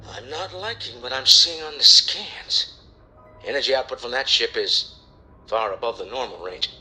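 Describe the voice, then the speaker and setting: An actor playing an earnest, excitable space explorer in a video game, speaking in an expressive, inquisitive way. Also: male voice, medium pitch, moderate pace, faint music behind, rough recording. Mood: worried. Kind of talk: scripted video game acting.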